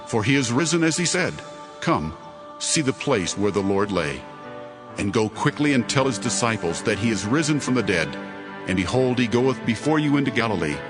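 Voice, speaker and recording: An actor playing a commanding, reassuring angel, solemnly proclaiming in a dramatized scripture reading. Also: male voice, lowish voice. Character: male angel, commanding, reassuring heavenly messenger.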